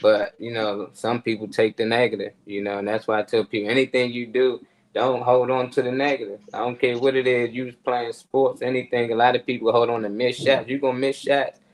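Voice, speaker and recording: A man's voice: mid-range voice, little pitch movement, a little fast, low-quality recording. A man chatting casually on a talk show, giving off-the-cuff motivational advice in colloquial, sometimes profane language. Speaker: show panelist.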